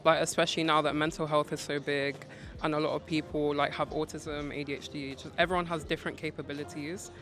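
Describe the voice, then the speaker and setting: A man's voice: fairly high pitch, level tone, fast, fairly bright tone. A man in his twenties sharing opinions casually as an interviewee. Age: 20s. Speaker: street interviewee.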